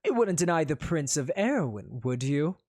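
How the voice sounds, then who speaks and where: A man's voice: on the high side, big pitch swings, normal speed, a little mellow. A storyteller voicing a haughty, spoiled young male prince who huffs and sneers. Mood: smug. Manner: huffy, sneering and indignant.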